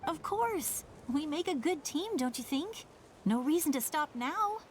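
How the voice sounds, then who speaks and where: Spirited young fighter girl from a game, kinda high, cheeky and eager. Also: expressive, a little slow, a little hiss or hum. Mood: excited. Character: spirited, cocky, playful young female healer fighter. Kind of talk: video game voice acting.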